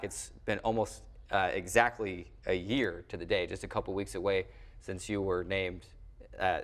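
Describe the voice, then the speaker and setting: A man with a lowish voice hosts a sports program from a studio. He speaks in a conversational broadcast style, with long, wordy phrasing. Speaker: sports show host.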